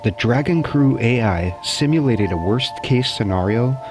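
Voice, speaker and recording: A man's voice: mid-range voice, expressive, unhurried. A man hosting a web show, reading scripted science news as narration. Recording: voice-over with music bed.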